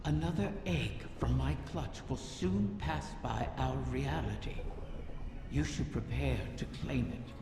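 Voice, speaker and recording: An actor voicing an ancient, cunning wish-dragon in a video game, speaking in slow, insinuating, theatrical questions. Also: medium pitch, gravelly, noisy background. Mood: sly, insinuating. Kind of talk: scripted video game character dialogue. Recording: long cavernous reverb, music underneath.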